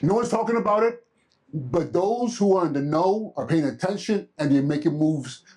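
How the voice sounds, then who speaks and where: A man co-presenting at a live conference, speaking with a big, forceful voice and a sense of urgency. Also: a little high, animated, normal speed. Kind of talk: live summit talk to an audience. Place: conference room with a live audience.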